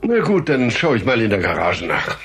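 An actor playing an earnest, slightly flustered middle-aged father in a German children's audio drama, husky and patient. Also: medium pitch, wildly swooping pitch, normal speed, fairly bright tone, slight background noise, full character voice. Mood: harried. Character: harried, well-meaning middle-aged family father.

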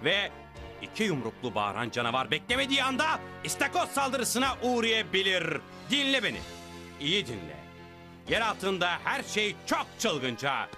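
A man's voice: high voice, very animated, moderate pace, over music. A man voicing a big blue superhero who is boastful and cheerfully dim, making grandiose speeches in a dubbed cartoon.

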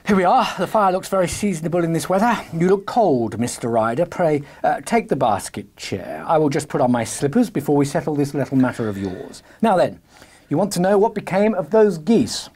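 Guy acting a clever gentleman detective, husky, lightish pitch, very animated, polite but in charge, storybook drama. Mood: teasing, assured. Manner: courteous, knowing, brisk. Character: shrewd, confident gentleman detective, courteous yet commanding.

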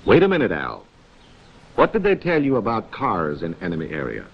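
Animated, wry 1940s American film narrator, man. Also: lowish voice, a little fast, vocal fry, breathy edge. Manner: wry, chiding, rhetorical. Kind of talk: 1940s instructional film narration, addressing characters directly. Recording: dull 1940s film soundtrack, music.

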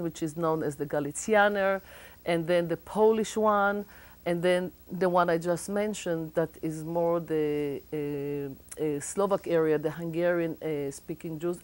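Low-voiced Israeli woman, hesitant. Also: slow, a little mellow. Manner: thoughtful, many hesitations. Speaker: guest. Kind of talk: interview, explaining. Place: TV studio.

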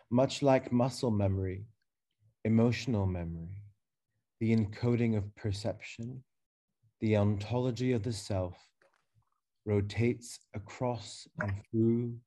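A man in his thirties reading a prepared keynote as curator over a webcam video call, slow, measured and reflective, with pauses. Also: medium pitch, warm tone. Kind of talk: reading a prepared lecture text. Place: dark room indoors.

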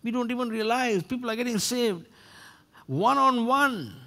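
Preacher, male, 60s, high voice, emphatic and repetitive sermon delivery. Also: normal speed, bright, forceful tone. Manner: emphatic, repeats phrases for effect. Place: church stage, indoors. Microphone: headset.

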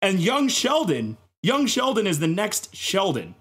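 A man's voice: high voice, wildly swooping pitch, measured pace, a bit bright, husky. A man on a podcast panel spinning comic theories in a mock-serious, dramatic, emphatic way.

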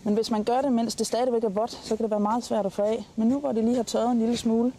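A Danish woman hosts a travel programme in a mellow, relaxed voice. She gives practical tips straight to camera. Outdoor ambience sits faintly behind her. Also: fairly high pitch, slight background noise. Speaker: travel show host. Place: outdoors at a campsite, in and beside a tent awning.

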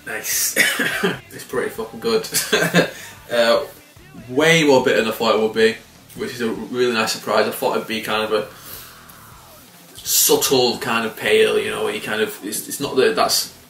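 A man in his thirties casually reviewing a beer to camera, relaxed and swearing freely with frequent chuckles. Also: fairly low pitch, a little slow, vocal fry, soft background music. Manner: laid-back, swears casually, chuckles often. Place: small indoor room by window blinds. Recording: roomy and slightly distant.

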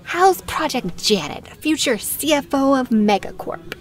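A woman's voice: sits high, animated, measured pace. An actress playing a supportive, take-charge woman friend who teases, in a naturalistic audio drama. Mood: playful, teasing.

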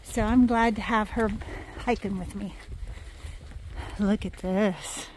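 A woman's voice: medium pitch, slow pace, rough recording. An older woman vlogging cheerfully on a mountain trail, talking to a phone camera at arm's length and a little out of breath. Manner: cheerful, chatty, excitable. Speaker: hiking vlogger. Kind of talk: casual vlog narration. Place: outdoors on a dam and mountain trail. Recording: faint outdoor noise.

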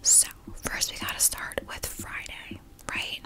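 A woman whispering a guided visualization for ASMR in a breathy, unvoiced whisper, gentle and soothing with a casual rambling manner. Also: slow. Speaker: ASMR video host.

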